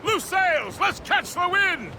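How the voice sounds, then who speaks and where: An actor playing a brash, hearty ship's first mate, a man shouting to sailors in a video game. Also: extremely high pitch, sing-song, slow pace, heavy background noise, exaggerated voice. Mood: rousing, urgent. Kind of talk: video game dramatic acting.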